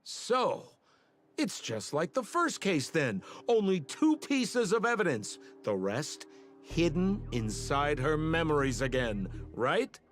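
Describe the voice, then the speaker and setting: Slow-talking, sardonic game sidekick. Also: male voice, on the high side, big pitch swings. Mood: skeptical. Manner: sarcastic, skeptical. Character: sardonic laid-back man helping investigate. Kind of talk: video game character acting.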